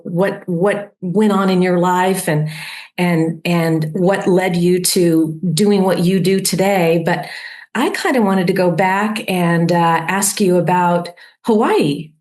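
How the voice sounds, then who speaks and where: A middle-aged woman hosting a podcast interview, talking in a friendly, halting way with many fillers and restarts. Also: lowish voice, a little mellow. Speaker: podcast host. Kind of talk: casual interview.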